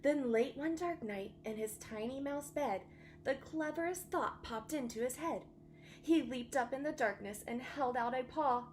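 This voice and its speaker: High-voiced woman reading slowly to children. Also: soft background music. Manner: animated, dramatic emphasis for young listeners. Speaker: teacher reading a bedtime story. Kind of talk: expressive children's storybook reading.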